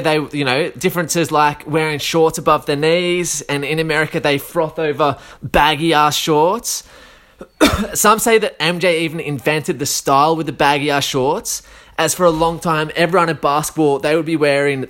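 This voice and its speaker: A man's voice: a little high, fairly bright tone. A man in his thirties with an Australian accent, chatting casually on his own, a little sick, with a slangy, rambling delivery full of fillers. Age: 30s. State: a bit sick, coughing. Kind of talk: casual solo chat.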